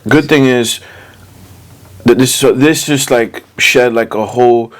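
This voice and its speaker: A man's voice: medium pitch, unhurried. A man speaking as an interview guest, telling personal stories in informal, streetwise language full of "you know.". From born in the US, Puerto Rican, moved to Puerto Rico as a child. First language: English. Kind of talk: casual personal storytelling.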